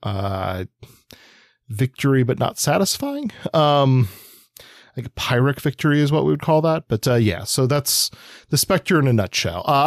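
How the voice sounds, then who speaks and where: A man's voice: mid-range voice, a little mellow, dry recording, studio quality. A man co-hosting a podcast, explaining things in a casual, rambling way with an offhand, wry touch. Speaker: podcast co-host. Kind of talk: casual explanatory comics discussion.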